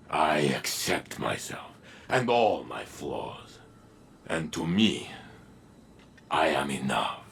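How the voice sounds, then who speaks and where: A man voicing a proud, theatrical robot in a video game, speaking in a grand, deliberate, philosophical manner. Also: rumbling low, vocal fry, bright, forceful tone, slight background noise, heavily acted. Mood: proud, emphatic.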